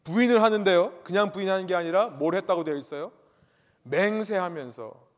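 A man's voice: high-pitched, a little fast, long reverb tail. A man preaching a sermon as a pastor, emphatic, repeating his key points and asking the congregation rhetorical questions.

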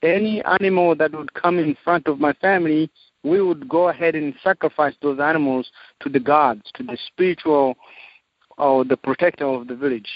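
A man from Zimbabwe, a missionary guest, telling his testimony in a slow, measured way with frequent pauses. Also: fairly high pitch, no room sound. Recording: dull, dry audio.

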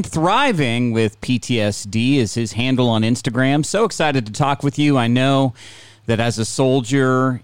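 A man's voice: mid-range voice, clear, resonant tone, dry recording. A man hosting an interview show in a conversational style. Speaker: podcast host.

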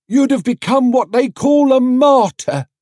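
Market trader, played by a male reader: opinionated, folksy storybook busybody, raised pitch, very lively delivery. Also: a little slow, no room sound, clean studio sound. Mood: excited, opinionated. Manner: folksy, colloquial, emphatic. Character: chatty, opinionated male market stallholders, full of advice.

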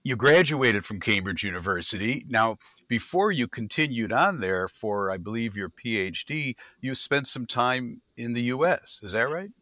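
A man's voice: mid-range voice, expressive, normal speed, few pauses, pushed and bright, dry recording. A man hosting an interview podcast, introducing his guest and asking questions attentively. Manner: attentive, frequent mm-hmms. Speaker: podcast host.

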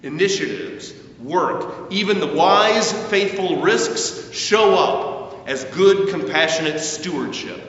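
A man preaching a sermon as a pastor, with measured, deliberate phrasing, emphatic rises and falling sentence endings. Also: a bit bright. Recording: very echoey church, distant mic, muffled, faint rumble.

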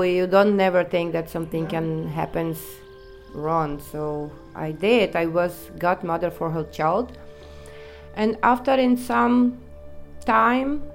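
A woman from Ukraine with a Ukrainian accent, telling her own story in halting, broken English with many pauses. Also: lowish voice, creaky. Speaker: interviewee. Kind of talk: personal testimony in non-native English.

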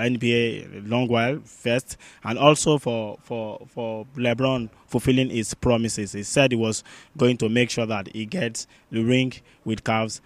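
A man co-hosting a sports podcast with casual news commentary, halting and often restating his phrases. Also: mid-range voice.

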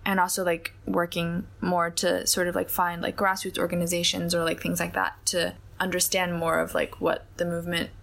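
A woman's voice: fairly low pitch, completely flat delivery, normal speed, gravelly, hardly any pauses, fairly bright tone. A young woman from Hong Kong, an interview guest speaking in a reflective, conversational way with lots of hedging and filler words. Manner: thoughtful, many hedges and fillers like "like" and "I think".

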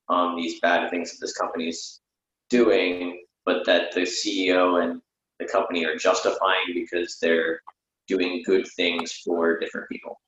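Male playwright in casual discussion, deep voice, hesitant phrasing, roomy sound. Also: a bit bright. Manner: hesitant, halting. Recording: muffled, echoey room audio.

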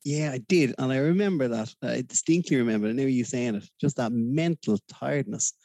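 Gravelly, mellow Dublin Irish male guest, rambling and hesitant, dry close sound. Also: medium pitch, fairly quick, dry recording. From Ireland. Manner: rambling, hesitant, many fillers, laughs easily. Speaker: podcast guest. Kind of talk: casual interview chat.

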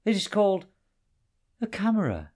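A man's voice: high voice, huge pitch swings, normal speed, darkish tone, pitched above the performer's own voice, exaggerated voice. A man playing a startled explorer, raised voice. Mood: insistent. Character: startled tall man, calm and plain-spoken.

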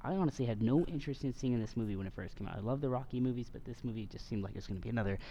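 A man's voice: medium pitch, rapid-fire, dark tone, a little hiss or hum. A man co-hosting a casual movie podcast, laid-back and joking, with a lot of fillers. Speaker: podcast co-host.

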